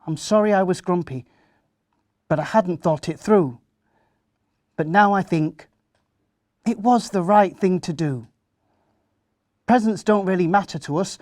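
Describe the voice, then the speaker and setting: A man's voice: sits high, unhurried, pauses often, a little mellow, no room sound, clean studio sound, pitched above the performer's own voice. A man voicing an emotional, earnest young boy in a children's Christmas story, speaking emphatically. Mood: earnest, cheerful.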